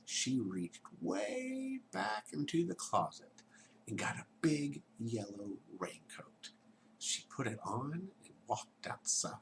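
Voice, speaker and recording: A man reading a children's story aloud in a theatrical way, dropping into hushed tones and chatting to his young listeners. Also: mid-range voice, creaky, slight background noise.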